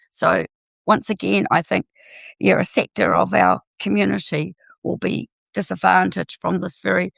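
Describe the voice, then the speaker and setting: An older woman with a New Zealand accent and a croaky voice from a cold, talking earnestly in a live online discussion about health education and restarting phrases as she goes. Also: medium pitch, dry recording.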